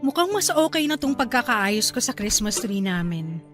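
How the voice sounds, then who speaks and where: A chatty young wife, high-voiced. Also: female voice, very animated, moderate pace, music in the background. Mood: cheerful. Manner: bright, chatty and eager. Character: cheerful young wife and new mother. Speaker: voice actor in a radio drama. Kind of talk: radio drama acting.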